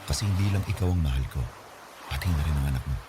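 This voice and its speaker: A deep-voiced, doting groom. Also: male voice, fast. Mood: loving. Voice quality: warm, teasing, sweet-talking. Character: devoted, playful young groom-to-be. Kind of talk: radio drama acting. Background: background music.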